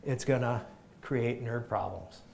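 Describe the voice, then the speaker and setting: A man, a chiropractor, giving an energetic live health talk to a group, emphatic and often restarting his sentences. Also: medium pitch, measured pace, creaky, slight background noise.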